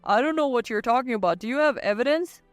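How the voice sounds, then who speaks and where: Woman playing a clear-voiced, defiant ex-husband. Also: a little high, lively intonation, quick pace, dry recording, muffled recording, faint music behind, lightly acted. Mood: dismissive. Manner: curt, dismissive. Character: selfish, defiant ex-husband, an adult man, dismissive.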